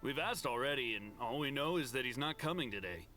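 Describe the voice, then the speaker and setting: A man's voice: mid-range voice, animated, fairly quick, sharp tone. A man playing a defensive, clueless townsman in a video game, brusque and questioning. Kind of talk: English video game voice acting. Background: game music.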